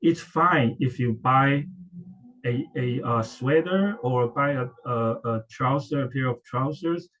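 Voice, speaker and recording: A man's voice: mid-range voice, slow pace, a bit bright. A middle-aged man from Taiwan, a professor, presenting at an academic conference with frequent hesitations and restarts. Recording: dull lo-fi audio.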